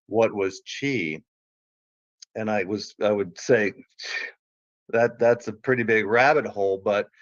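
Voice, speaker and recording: A man's voice: lowish voice, no room sound, lo-fi sound. A man on a call, explaining at length in a rambling way that trails off and restarts. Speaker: caller. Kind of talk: casual call-in chat.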